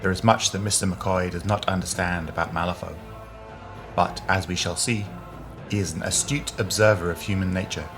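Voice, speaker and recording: A man's voice: sits low, fairly even intonation, normal speed. A man announcing a story in a scripted, dramatic introduction style. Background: music.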